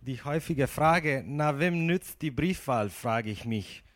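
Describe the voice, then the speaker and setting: Non-native male speaker, mid-range voice, halting, humorous. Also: medium pitch, lively intonation. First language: not German. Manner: halting, many fillers, joking. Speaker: speaker at an event. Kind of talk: humorous talk.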